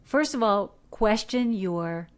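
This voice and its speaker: A middle-aged American woman from Detroit, an actor giving earnest, coaching advice in a podcast interview, animated with rhetorical questions. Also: medium pitch, takes it slowly. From Detroit, USA. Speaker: guest, actor. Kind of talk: podcast interview, motivational talk.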